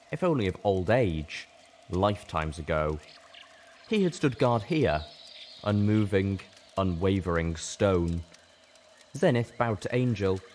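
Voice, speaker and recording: A man with a low voice narrates fantasy fiction with theatrical intensity. He reads in a storytelling style, over clear background noise. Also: a little mellow. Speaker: reader of a fan fiction story. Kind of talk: dramatic audiobook narration.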